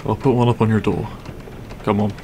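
Man acting a gravelly, grumpy half-dragon roommate. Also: on the low side, steady tone, fairly quick, warm tone, slight background noise. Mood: irritated, weary. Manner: gruff, blunt, sweary, weary. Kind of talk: audio roleplay acting, spoken to the listener.

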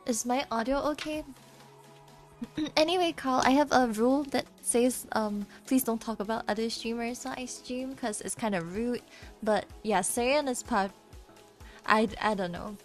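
A tired young woman streamer with a slightly scratchy voice, chatting casually while she draws, soft and halting, stammering and giggling often. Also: fairly high pitch, faint music behind. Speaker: VTuber streamer. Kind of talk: casual livestream chat while drawing.

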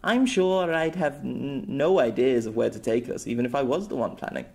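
A man voicing a playful, cheeky young woman in a storybook reading, with a high, cooing, wheedling manner. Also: normal speed, darkish tone. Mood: teasing.

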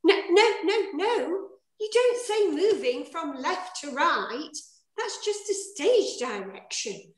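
An older woman with a high, very animated voice gives direction. She is emphatic and teacherly, correcting in a roomy video-call sound. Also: takes it slowly. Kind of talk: correcting actors, reading stage directions. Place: indoor room, on a video call.

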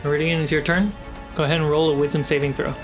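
A game master with a mid-pitched voice narrates a tabletop role-playing session, describing scenes and calling for rolls. A clear, prominent musical score runs beneath the voice. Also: little pitch movement, fast. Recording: loud background music under the voice. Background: cinematic musical score.

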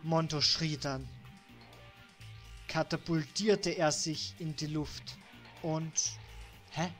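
A woman's voice: low-pitched, fairly even intonation, takes it slowly, soft background music. A woman in her twenties with a Styrian Austrian accent, reading game text aloud and chatting on a live stream. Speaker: let's play streamer.

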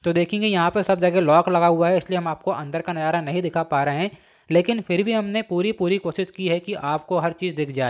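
A man, narrating a vlog as he walks through a stadium, chatty and addressing viewers as friends, filmed on a handheld phone. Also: on the high side. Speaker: vlogger. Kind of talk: walking tour vlog narration. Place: inside and around a stadium, walking. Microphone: phone camera held while walking.

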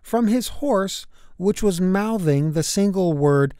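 A woman's voice: mid-range voice, expressive, takes it slowly, warm tone, smooth, dry recording, studio quality. A woman narrating a rhyming children's storybook expressively, in a way that is easy to follow. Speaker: children's book reader.

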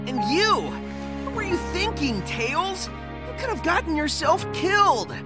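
A man's voice: very high voice, sing-song, measured pace, over loud music, exaggerated voice. An actor playing a cocky, heroic young male hedgehog in a video game, brash, earnest and punchy. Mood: scolding. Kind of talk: animated video game cutscene acting.

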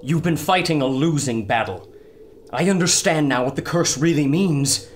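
Male actor as scholarly doctor, high voice, animated, earnest, dramatic, radio play. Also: normal speed, a little hiss or hum, natural voice. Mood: earnest, persuading. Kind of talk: radio adventure drama acting.

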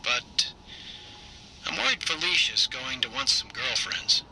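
An actor playing a solitary, introspective husband who confides measured thoughts in a video game audio diary. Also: male voice, a little high, sing-song, full character voice. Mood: pensive. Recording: audio diary with clear background noise.

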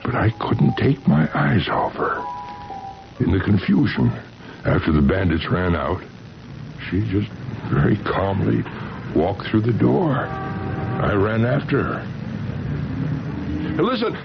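Shy eager lovesick fella, old radio play, swingy lowish voice, halting, dull sound. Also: male voice, sing-song, vocal fry, full character voice. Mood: wistful recollection. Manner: halting, eager, repeating himself. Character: shy, lovestruck, earnest male chemical engineer. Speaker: radio drama actor. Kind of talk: 1970s radio drama acting. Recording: dull vintage radio recording with music.

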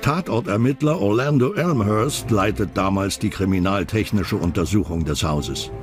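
A man's voice: lowish voice, moderate pace, over music. A man reads scripted documentary narration as the narrator of a crime series.

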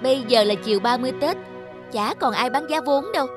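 A brash middle-aged woman customer from a cartoon, acted by a woman. Her voice sits high and she haggles in a pushy, scornful way, with music playing behind her. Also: fast, lightly acted. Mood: indignant, scornful. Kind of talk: animated cartoon dialogue.

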